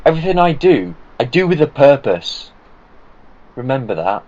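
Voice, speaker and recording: A man's voice: mid-range voice, sing-song, moderate pace, a little mellow, close to an everyday voice. A man voicing a brusque, impatient time-traveling alien. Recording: dull lo-fi recording.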